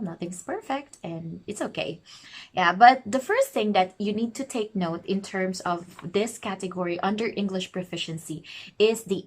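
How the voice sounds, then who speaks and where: A chatty woman from the Philippines in her thirties talks through tips for new online English teachers. She speaks at a medium pitch, laughs easily and often backs up to rephrase. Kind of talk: casual explainer vlog.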